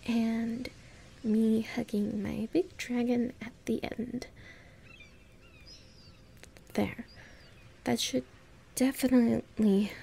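A woman playing a playful, tender young human in love with a dragon, musing aloud softly in an intimate audio roleplay. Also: fairly high pitch, vocal fry, slight background noise.

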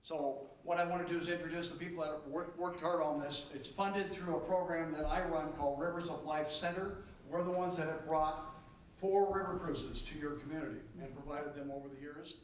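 An older man standing at a council-chamber podium, enthusiastically presenting a community program. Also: on the high side. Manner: enthusiastic, promotional, brisk bursts. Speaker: citizen presenter. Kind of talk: public comment at a council meeting. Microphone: stand mic at a distance. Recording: echoey chamber, distant mic, faint hiss.

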